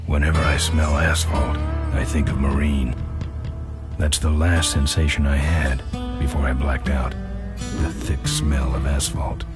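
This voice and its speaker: Very deep, laconic biker. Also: male voice, unhurried. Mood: brooding. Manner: laconic, deadpan. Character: gruff, world-weary male biker hero narrating his troubles. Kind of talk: noir-style game narration. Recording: loud music behind the voice. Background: guitar music.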